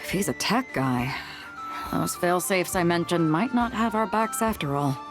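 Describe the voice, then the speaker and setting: A woman acting a composed, shrewd, commanding woman in a video game, with a cool, self-assured and measured manner. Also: on the low side, animated, music in the background, lightly acted. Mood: probing.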